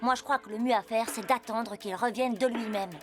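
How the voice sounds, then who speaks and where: A woman's voice: a little high, steady tone, fairly quick, over loud music, natural voice. A woman voicing a blunt, insistent schoolboy in a cartoon, reasoning in a matter-of-fact way. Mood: frustrated. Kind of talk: animated series dub.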